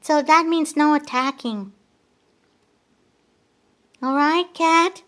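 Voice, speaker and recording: A woman presenting to young children, talking in a gentle, affectionate and playful way. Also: sits high, slow. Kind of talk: nursery rhyme and gentle talk to children.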